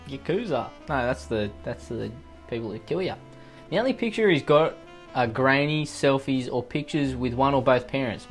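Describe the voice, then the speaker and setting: A man with an Australian accent reading out online posts and riffing on them with profane, sarcastic, rambling comedic asides. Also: mid-range voice. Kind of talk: comedic commentary on read-out posts.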